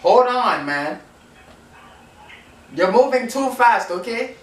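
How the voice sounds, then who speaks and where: A man's voice: extremely high pitch, lively intonation, slow. A young American man making a prank phone call. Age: teenager to young adult. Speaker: prank caller. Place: small room indoors on a couch. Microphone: camera a few feet away. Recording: echoey, distant camera mic, faint noise.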